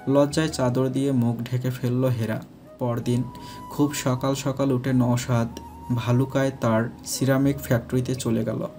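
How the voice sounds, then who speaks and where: Male romantic-story narrator, mid-pitched, warm and level delivery. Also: flat delivery, unhurried, faint music behind.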